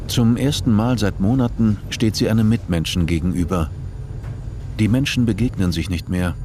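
An actor as the narrator of a dystopian radio play, reading scripted prose in a measured, deliberate way. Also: male voice, lowish voice, a little mellow, natural voice. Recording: noisy vehicle-like ambience behind.

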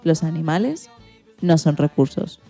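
A woman radio host with a fairly low, mellow voice presents and interviews from a script. Her tone is soft and relaxed. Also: measured pace, vocal fry, soft background music.

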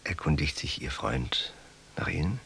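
Radio drama: enigmatic armed rich man, low register, clipped formal menace, slight background noise. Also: lively intonation, unhurried, gravelly, a bit bright, exaggerated voice. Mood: probing, controlled. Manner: cool, formal, cryptic, clipped answers. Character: rich, enigmatic armed host toying with his captive guest. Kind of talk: German radio drama acting.

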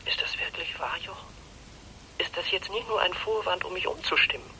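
An actress playing a disillusioned middle-aged wife in a German radio play, speaking with pleading intensity. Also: female voice, fairly low pitch, a little fast, bright, forceful tone, slight background noise, low-quality recording. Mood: anxious, pleading. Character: disillusioned middle-aged wife longing to escape her life.

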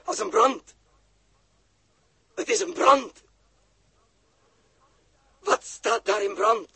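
An actor playing a desperate, bewildered injured man in a radio play, halting and repeating himself in pain. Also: high voice, bright, forceful tone, no echo, full character voice. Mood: desperate, pleading. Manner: halting, repeating words, anguished. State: in pain, struggling. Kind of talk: 1960s Dutch radio play acting. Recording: husky-sounding old 1960s radio recording.

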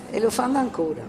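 An older person recalling past events in a colloquial, halting, emphatic oral-history account, in a reverberant recording with music behind. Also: mid-range voice. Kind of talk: spoken oral-history recollection with snatches of recited song.

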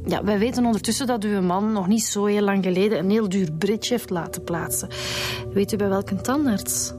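A woman's voice: mid-range voice, moderate pace, over music, lightly acted. Polite, probing Flemish policewoman played by an actress. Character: persistent, businesslike female police inspector questioning people. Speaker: radio drama actor. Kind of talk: naturalistic radio drama acting.

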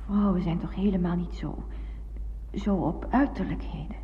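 An actress playing a coy, teasing young woman in intimate radio drama dialogue, with soft, breathy asides. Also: on the low side, fairly even intonation, moderate pace, natural voice. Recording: reverberant, dull old radio recording.

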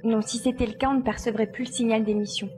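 A woman's voice: fairly high pitch, steady tone, quick pace, darkish tone, slight background noise, lightly acted. A woman playing an analytical, matter-of-fact adventurer reasoning things out in an audio drama. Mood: puzzled, reasoning. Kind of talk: French audio drama acting.

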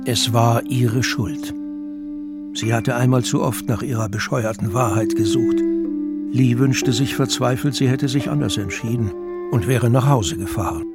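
A man narrates a dramatic story in a scripted storytelling style. His voice sits at an average male pitch, with music clearly playing behind it. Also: measured pace. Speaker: audiobook narrator.

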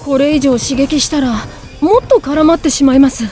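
A woman's voice: sits high, moderate pace, mellow, faint music behind, close to an everyday voice. A woman playing a modest, courteous young male swordsman in a Japanese game drama CD, speaking sincerely. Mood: resolute.

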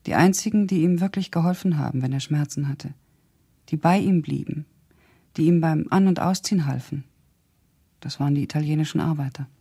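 An actress playing a blunt, sardonic older woman with a husky, gravelly voice, indignantly loyal to an old friend, recounting memories in a German radio drama. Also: low voice, dark tone. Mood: earnest, reminiscing. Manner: blunt, sardonic, deliberate storytelling.

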